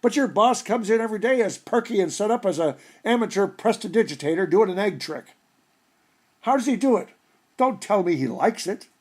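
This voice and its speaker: A man's voice: high-pitched, animated, brisk, a little mellow, voice pushed up. A man voicing a wisecracking, streetwise pet dog in a storybook, with colorful slangy talk. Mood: curious. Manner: slangy, colorful insults and pleading.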